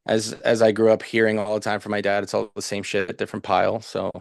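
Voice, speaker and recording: A man's voice: lowish voice, little pitch movement, fast, vocal fry, dry recording, clean studio sound. Rambling Canadian-born man, casual guest. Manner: rambling, many fillers and restarts. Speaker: podcast guest, nutrition professional. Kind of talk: casual interview chat.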